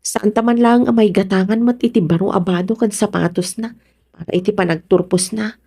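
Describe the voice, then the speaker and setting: A woman's voice: mid-range voice, steady tone, brisk, warm tone, breathy edge. A woman with a Filipino accent playing a hardworking, worried wife and mother in a radio drama. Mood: worried, downcast.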